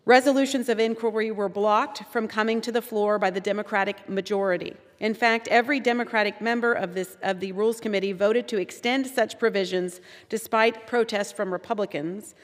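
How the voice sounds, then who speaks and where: Measured woman in a legislative chamber. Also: medium pitch. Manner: measured, reading prepared remarks. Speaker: congresswoman in floor debate. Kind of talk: House floor speech. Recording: long hall reverb.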